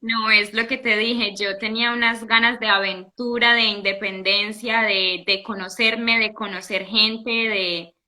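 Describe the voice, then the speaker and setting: A young woman from Italy, a guest in a casual interview, telling her story in an animated way with many restarts. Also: medium pitch, measured pace, few pauses. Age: young adult.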